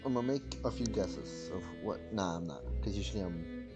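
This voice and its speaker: A man's voice: low-pitched, fairly quick. A man giving casual, offhand, slangy commentary while playing a story game. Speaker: gamer reacting to game story. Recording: loud game music behind voice.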